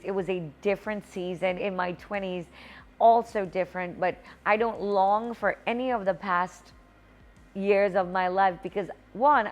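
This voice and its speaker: A woman in her forties, a pastor, telling a personal story in a sermon and building up to lively exhortation. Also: medium pitch, highs cut off. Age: 40s. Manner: storytelling, rising to exuberant exhortation.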